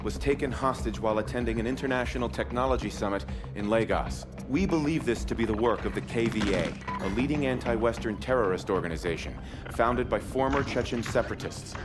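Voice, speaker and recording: An actor playing a military officer delivering a crisp, matter-of-fact mission briefing. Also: male voice, mid-range voice, brisk, few pauses, over music, close to an everyday voice. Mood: businesslike. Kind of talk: video game cutscene acting.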